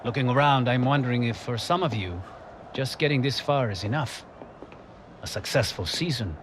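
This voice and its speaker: A man playing a passionate, commanding football coach giving an emphatic, measured team talk in a game cutscene. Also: mid-range voice, background noise, close to an everyday voice. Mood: challenging.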